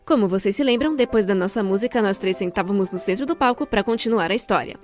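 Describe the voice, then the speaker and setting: A woman's voice: medium pitch, fast talker, faint music behind. A young adult woman with a Brazilian accent giving scripted, comedic storytime narration in a theatrical, wry way. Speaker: storyteller, fan-dub voice actor.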